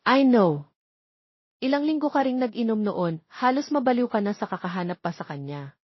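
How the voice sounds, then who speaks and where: Woman playing blunt, teasing young Filipino best friend, male, audio romance; husky, lo-fi sound. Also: fairly high pitch, animated, moderate pace, no echo, voice pushed up. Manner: blunt, joking. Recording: dull, lo-fi but dry recording.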